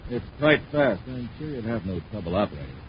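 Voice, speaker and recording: A villainous company boss from a 1950s radio serial, scheming under a show of courtesy. His voice is fairly low. The recording is muffled, with faint noise. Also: sing-song, fast. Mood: ingratiating.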